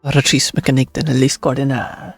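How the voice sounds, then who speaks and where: A man's voice: medium pitch, little pitch movement, fast, creaky, no room sound, soft background music. A man streaming a video game, commenting casually and reading dialogue options aloud.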